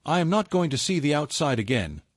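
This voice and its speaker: A man reading as a middle-aged mafia lawyer in an audio story, a resigned and bitter traitor. He talks quietly and deliberately, with expressive pitch and a dry sound. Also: no echo. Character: tidy fifty-five-year-old male mafia lawyer, resigned, bitter traitor.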